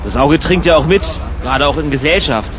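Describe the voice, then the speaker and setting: A man's voice: fairly high pitch, expressive, brisk, background noise, close to an everyday voice. A man playing a practical, sarcastic travel companion in a comic radio play, talking in a dry, terse, exasperated way. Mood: casual, dry.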